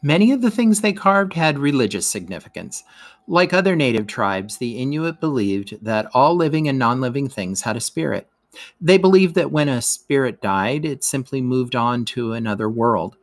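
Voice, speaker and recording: A man's voice: mid-range voice, very animated, normal speed. Man reading an educational text aloud. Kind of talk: scripted educational read-aloud narration.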